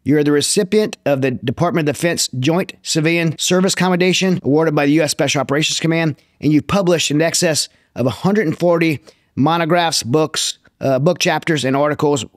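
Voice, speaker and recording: A man hosting a podcast interview, friendly and quick to laugh. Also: a little high, dry recording, clean studio sound. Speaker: podcast host. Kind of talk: casual interview.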